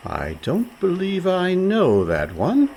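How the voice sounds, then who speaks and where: An actor playing a breezy, overconfident schemer in a comic radio play, glib and mock-genteel. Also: male voice, high-pitched, wildly swooping pitch, slow, a little mellow, a little hiss or hum, exaggerated voice. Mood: glib scheming. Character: smooth-talking, scheming con man, male, breezy and overconfident.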